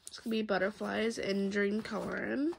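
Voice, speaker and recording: A woman's voice: medium pitch, steady tone, creaky, slight background noise. Stuffy-nosed young woman chatting while coloring. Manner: soft, unhurried, chatty with pauses. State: stuffed up from a cold. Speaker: coloring channel host. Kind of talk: casual chat while coloring. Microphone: overhead camera on hands.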